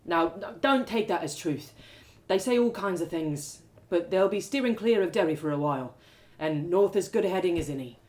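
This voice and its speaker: A woman voicing an earnest young man torn by guilt, pleading haltingly in a story. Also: fairly low pitch, animated, a little mellow, natural voice. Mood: sorry, earnest. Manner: halting, repeating words as he pleads.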